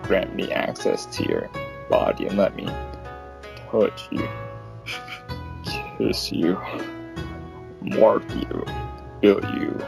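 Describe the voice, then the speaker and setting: A man voicing a seductive, courteous male lover for a fiction reading, gravelly and intimate. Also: low voice, wildly swooping pitch, takes it slowly, pauses often, exaggerated voice. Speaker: guest voice actor. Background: plucked guitar music.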